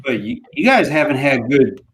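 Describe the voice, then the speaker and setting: A man's voice: medium pitch, sing-song, moderate pace, creaky, fairly bright tone. A man co-hosting a casual sports podcast, talking bluntly and emphatically with plenty of swearing. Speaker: podcast co-host.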